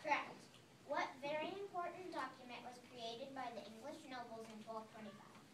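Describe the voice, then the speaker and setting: A brisk game show host played by a woman in an amateur readers' theater history quiz. The voice is high, heard from far off with noticeable noise around it. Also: natural voice. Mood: brisk, official. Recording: echoey, noisy room, distant microphone.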